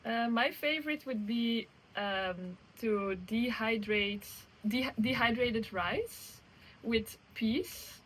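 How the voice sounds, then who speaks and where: A woman in her twenties from Belgium, answering in a soft-spoken, measured way with light laughs. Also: on the high side, poor audio. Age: 20s. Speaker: interviewee hiker.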